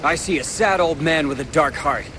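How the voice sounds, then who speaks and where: An actor playing a brave young hero in a video game, speaking with earnest determination. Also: male voice, on the high side, normal speed, background noise, natural voice. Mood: defiant. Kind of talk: video game cutscene acting.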